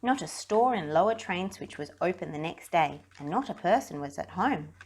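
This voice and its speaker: A woman reading a children's story aloud in an expressive narrating style. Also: on the low side, moderate pace, breathy edge. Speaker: storybook reader.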